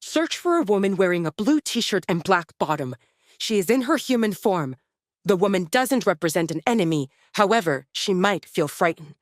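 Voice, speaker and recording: A woman's voice: mid-range voice, animated, normal speed, no room sound, studio quality, lightly acted. A woman voicing a frantic, commanding male werewolf pack leader in an audiobook drama, with urgent delivery.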